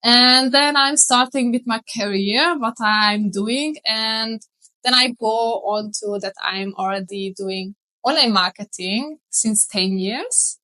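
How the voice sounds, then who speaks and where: This is a woman from Hungary with a strong Hungarian accent, probably in her thirties, speaking English as a guest on an interview show. Her voice sits slightly high and has a bright, forward edge. She talks with lively enthusiasm and laughs often. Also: takes it slowly, low-quality recording. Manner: enthusiastic, animated, laughs easily. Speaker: guest, marketing mentor. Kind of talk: casual interview chat.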